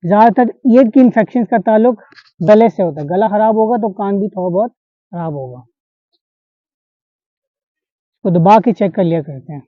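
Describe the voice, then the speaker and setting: A man's voice: high voice, normal speed, pauses often, dry recording. A man instructing a class, demonstrating pressure points on a volunteer and checking that the students follow. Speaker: acupressure instructor. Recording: dull lo-fi sound.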